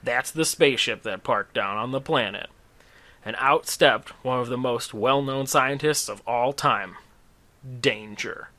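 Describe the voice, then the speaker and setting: A man's voice: medium pitch, unhurried, pushed and bright. A man telling a comedic scripted story in a dramatic, tongue-in-cheek way, with casual asides. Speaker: storyteller, host of a story series.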